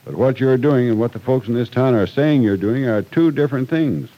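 A man's voice: mid-range voice, a little fast, dull audio, lightly acted. An actor playing a worried, fatherly, middle-aged small-town man in an old-time radio drama, folksy and admonishing. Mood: grave warning.

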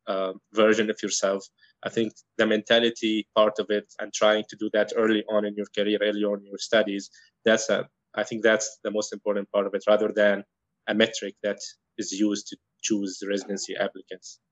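A man from Syria whose first language is Arabic, speaking in a fairly low voice. He answers carefully, with many fillers and restarts as he thinks. The sound is dry and a little dull, lo-fi. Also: dry recording. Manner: measured, thoughtful, many ums and restarts. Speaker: guest, internal medicine resident. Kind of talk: interview answers.

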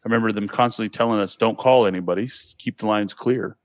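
A man's voice: fairly low pitch, quick pace, no echo. A man in his forties with a Californian accent, chatting casually and slangily as he tells stories. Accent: Californian American. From California, United States. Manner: slangy, says dude and bro. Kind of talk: casual chat, telling childhood stories.

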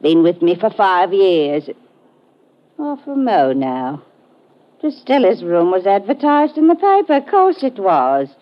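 A woman's voice: medium pitch, big pitch swings, unhurried. An actress playing a chatty, motherly older London landlady with a Cockney accent and a folksy, rambling manner in an old radio drama. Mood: gossipy. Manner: folksy, rambling, calls people dearie. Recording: dull vintage radio recording.

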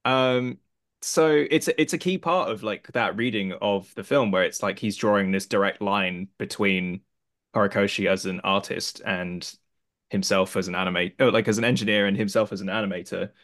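A man's voice: lowish voice, moderate pace, a bit bright. A man, a film critic guest on a podcast, telling stories in a loose, chatty way with lots of fillers. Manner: chatty storyteller, many "like" fillers. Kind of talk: casual podcast film discussion.

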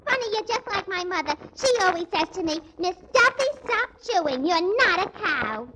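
A cheeky, dim-witted young gum-chewer in 1940s radio comedy. Her brassy voice sits very high and bounces around with lots of expression. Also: big pitch swings, normal speed, sharp tone, a little hiss or hum, full character voice, low-quality recording. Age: young adult. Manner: cheeky, ditzy.

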